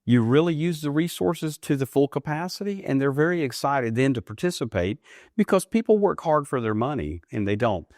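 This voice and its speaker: A man in his fifties from the United States, answering interview questions earnestly, often rising in pitch for emphasis. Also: animated, fairly quick, darkish tone, no echo, clean studio sound. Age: 50s. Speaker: guest, ministry leader. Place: studio.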